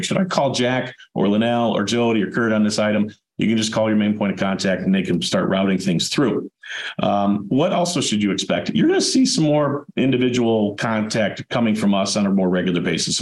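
Hoarse man hosting a webinar. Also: on the low side. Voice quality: raspy and dry. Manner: relaxed, conversational. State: hoarse from a chest cold. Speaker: webinar host. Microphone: video call. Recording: echoey room over a video call.

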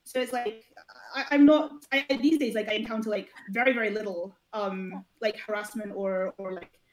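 A woman's voice: medium pitch, fairly even intonation, normal speed, a crowd in the background, rough recording. A woman guest on a podcast, an esports founder and coach, speaking candidly in a rambling way full of "like" fillers and hesitant restarts. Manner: candid, blunt at times. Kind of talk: casual podcast conversation.

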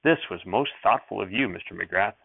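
Brief: man doing a quiet, polite pioneer mother, low gravelly voice, phone-like radio audio. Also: normal speed, no echo, heavily acted. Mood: gentle. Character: gentle, composed pioneer mother of five. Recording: tinny lo-fi radio recording.

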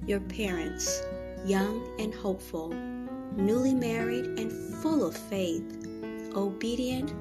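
A woman's voice: mid-range voice, close to an everyday voice. A woman voicing a young, hopeful, devout expectant mother in a tender, reflective scripted monologue. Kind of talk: scripted monologue, voice-over audition.

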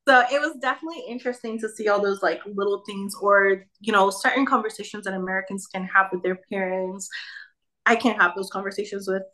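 Bright-voiced woman from Nigeria, chatty. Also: mid-range voice, brisk, low-quality recording. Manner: chatty, many fillers like "you know" and "like". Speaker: podcast guest. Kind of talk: casual conversation.

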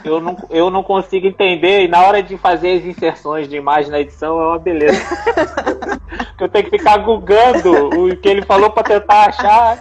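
A man co-hosting a podcast chat, relaxed and reflective, laughing easily. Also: high voice, brisk, dull audio. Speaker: podcast co-host and editor. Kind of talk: casual chat.

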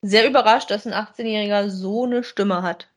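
A woman's voice: medium pitch, fairly even intonation, moderate pace. A woman chatting casually as co-host of a podcast that reviews audio dramas.

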